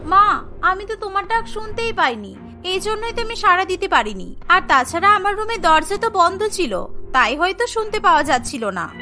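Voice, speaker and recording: A woman's voice: very high voice, big pitch swings, normal speed, pushed and bright, soft background music, exaggerated voice. A woman voicing a whiny, lazy young daughter who protests in a cartoon. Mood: defensive. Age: young adult. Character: sulky, lazy young daughter glued to her phone. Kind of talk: Bengali cartoon voice acting.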